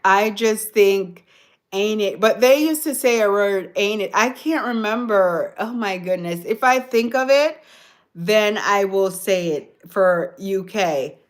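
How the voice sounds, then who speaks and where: A woman's voice: medium pitch, lively intonation, takes it slowly. A Jamaican woman hosting a casual livestream, chatting with viewers, answering their comments and laughing often. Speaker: livestream host. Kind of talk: casual live-stream chat with viewers.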